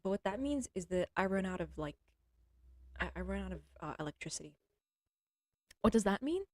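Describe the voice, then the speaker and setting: Young woman rambling on stream, blunt and crude, keeps clearing her throaty voice, dead dry sound. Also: mid-range voice, expressive, a little fast, no echo. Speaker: livestreamer. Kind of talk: casual rambling monologue. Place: at home with a cat.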